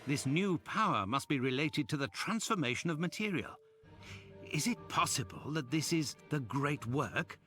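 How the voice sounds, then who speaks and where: An actor playing a fussy, questioning gentleman companion to a detective in a video game, formal and expressive. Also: a little high, moderate pace, faint music behind. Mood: inquisitive. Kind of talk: video game dialogue acting.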